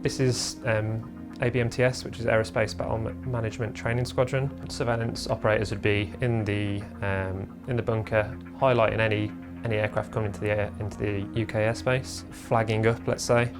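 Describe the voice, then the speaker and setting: A man's voice: on the low side, level tone, brisk, few pauses, over music. A man, a military instructor, explaining his work informally in an interview.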